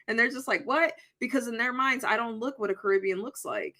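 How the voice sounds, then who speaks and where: A woman co-hosting a podcast, speaking with a Dominican accent. Her voice is bright and sharp, and she talks bluntly, animated and unfiltered, swearing casually as she tells her stories. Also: a little high, fairly quick. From Puerto Rico and the Dominican Republic. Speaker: podcast co-host. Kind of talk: casual chat.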